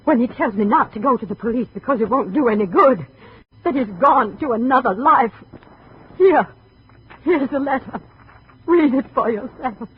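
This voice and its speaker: A woman's voice: high voice, lively intonation, normal speed. A woman playing an anxious, possessive middle-aged mother, fretful and insistent, in 1950s radio drama style. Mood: agitated, insistent. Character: possessive, anxious, self-pitying wealthy mother of grown son. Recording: dull, noisy 1950 radio recording.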